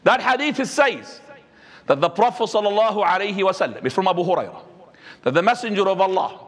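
A man, preaching a sermon as an imam, swinging between high and low. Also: lively intonation, quick pace. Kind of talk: Friday sermon. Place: mosque. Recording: echoey hall.

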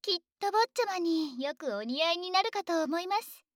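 A woman playing a doting, domineering dragon maid in an ASMR voice drama, speaking politely with gentle teasing, close to the microphone. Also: very high-pitched, lively intonation, normal speed, dry recording. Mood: sweet teasing. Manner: polite servile speech, gently teasing and coddling. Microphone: close microphone, dry.

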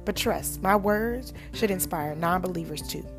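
Energetic lady podcast host, music playing under her, pumped up intro. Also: fairly low pitch, unhurried. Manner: energetic, motivational.

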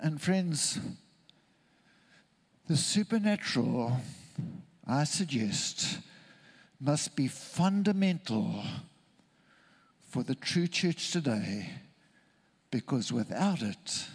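A man from Zimbabwe, preaching a sermon in an earnest, deliberate way with emphatic repetition. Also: mid-range voice, lively intonation, slightly rough.

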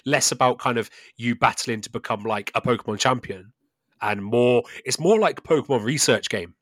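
A man's voice: mid-range voice, normal speed, a bit bright, dry recording, clean studio sound. A man co-hosting a casual video game chat, enthusiastic and often checking in with "right?". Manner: enthusiastic, tags statements with "right?". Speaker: podcast co-host.

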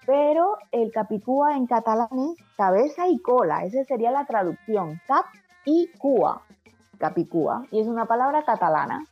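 Husky-voiced Caribbean Colombian woman. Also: fairly high pitch, sing-song, moderate pace, dull audio, soft background music. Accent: Colombian Caribbean with Spanish influence. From Barranquilla, Colombian Caribbean. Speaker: interview guest. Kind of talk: conversational anecdotal interview.

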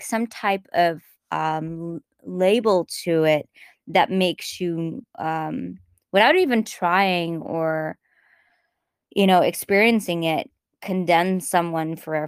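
A woman's voice: low-pitched, clear, resonant tone, no room sound, clean studio sound. A woman with an American-influenced English accent, co-hosting a podcast in casual, chatty talk that wanders off on tangents and restarts sentences often. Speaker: podcast co-host.

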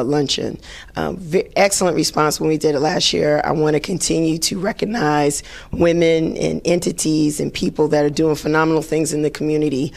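A woman hosting a podcast in a chatty, upbeat way, her voice a little raspy today. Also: sits low, darkish tone. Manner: upbeat, chatty, many fillers. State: slightly raspy voice. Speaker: podcast host. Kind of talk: casual solo podcast talk.

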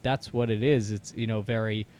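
A man's voice: lowish voice, steady tone, normal speed, a little mellow. A man in his thirties interviewing casually, with a rambling, slangy way of feeling out his sentences. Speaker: interviewer, musician.

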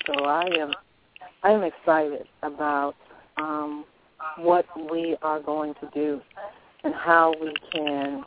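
A woman calling in to a radio show, enthusiastic and rambling with ums. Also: low-pitched. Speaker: caller. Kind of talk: call-in radio. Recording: tinny phone line with crowd noise.

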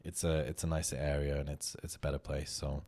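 Very deep-voiced man, rambling casual chat. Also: little pitch movement, fast, a little mellow. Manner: rambling, self-correcting, laughs often. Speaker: podcast co-host. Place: London.

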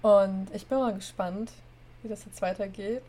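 A woman with a cold hosting a podcast and reading a story aloud in a steady, plain way. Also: mid-range voice, normal speed, poor audio. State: has a cold. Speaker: narrator and host.

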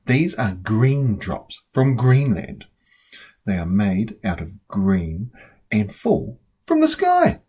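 Woman as a patient, persuasive boy, very animated. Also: a bass voice, slow, slightly rough, pitched below the performer's own voice, full character voice. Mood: persuasive, sly. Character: clever, patient older brother, a young boy. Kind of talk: storybook character voice.

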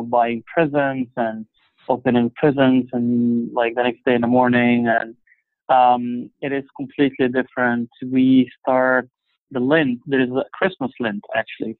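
A man's voice: mid-range voice, no echo. Egyptian-born man on a tinny phone line. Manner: halting, many fillers and restarts. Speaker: interview guest. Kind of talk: casual conversation.

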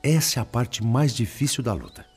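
An actor with a Brazilian accent playing a weary, self-doubting spirit in a radio drama, earnest and melancholy in delivery. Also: male voice, medium pitch, animated, brisk, darkish tone, soft background music, close to an everyday voice. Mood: humble, thoughtful.

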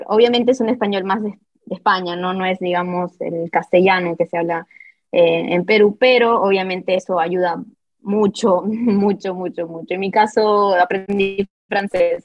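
A woman whose first language is Italian, born and raised in Italy, speaking as a guest in a reflective, halting way with frequent fillers. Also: mid-range voice, no echo. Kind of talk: personal testimony in conversation. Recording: dull lo-fi audio, dry.

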